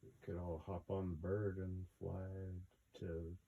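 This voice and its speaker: Mellow, muffled man reading a storybook. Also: deep voice, flat delivery, measured pace, vocal fry, a little hiss or hum. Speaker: host reading a children's book aloud with commentary. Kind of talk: casual storybook reading with asides.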